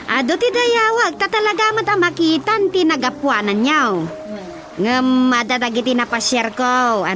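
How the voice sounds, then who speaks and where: An actress with an Ilocano Filipino accent playing a friendly village woman in a radio drama. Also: sits high. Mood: earnest, encouraging. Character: Rosa, friendly woman active in village farm projects. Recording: music bed behind the voice.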